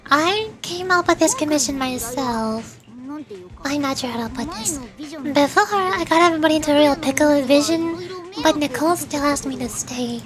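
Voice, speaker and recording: A woman's voice: high voice, animated, normal speed. A woman voicing a perky, scheming young cat girl mercenary, chatty and cajoling, reading game dialogue. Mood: earnest. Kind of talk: video game dialogue read aloud. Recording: loud music behind the voice. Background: game music.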